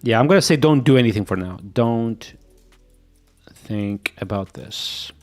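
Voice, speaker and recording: A man's voice: mid-range voice, lively intonation, unhurried, soft background music. Portuguese-accented man thinking aloud. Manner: mutters to himself, repeats "yep" as he reads. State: sick. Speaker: live-coding streamer. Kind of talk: thinking aloud while coding, chatting with viewers.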